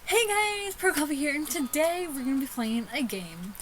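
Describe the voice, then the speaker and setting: A woman's voice: high voice, sing-song, normal speed, fairly bright tone, slight background noise. A woman hosting a gaming video with casual, chatty let's-play commentary, stumbling over words as she reads aloud. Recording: music behind the voice.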